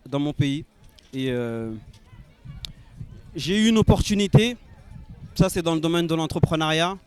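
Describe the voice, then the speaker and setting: Hesitant Senegalese-born man, smooth voice. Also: on the high side. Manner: hesitant, many fillers. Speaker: nurse and investor. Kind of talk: promotional testimonial. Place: outdoors.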